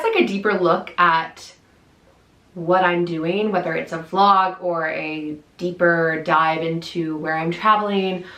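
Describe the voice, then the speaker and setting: A woman's voice: lowish voice, a bit bright. A woman in her late twenties talking candidly to camera, chatty and open, with lots of fillers and restarts. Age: late 20s. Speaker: content creator. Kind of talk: casual talk to camera. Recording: echoey room.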